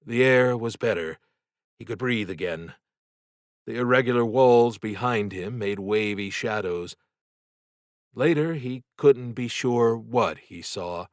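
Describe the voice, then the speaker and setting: A man narrating a novel in a dramatic, deliberate and suspenseful way, with expressive scripted delivery. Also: medium pitch, no echo, clean studio sound. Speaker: audiobook narrator.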